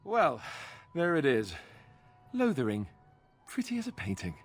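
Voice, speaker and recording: An actor playing an earnest young warrior companion in a fantasy video game, plain-spoken with a dry, sarcastic edge. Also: male voice, medium pitch, wildly swooping pitch, measured pace, slightly rough, no echo, faint music behind, exaggerated voice. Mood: wry.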